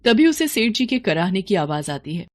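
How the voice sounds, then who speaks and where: A woman's voice: medium pitch, big pitch swings, normal speed, no echo. A woman reading scripted narration for a moral tale. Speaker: story narrator.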